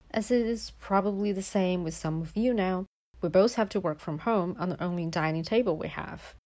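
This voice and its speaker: A woman whose first language is a Chinese dialect reads a personal essay as a voice-over. She explains each point in a measured way, at a middle pitch. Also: a little mellow. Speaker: guest speaker.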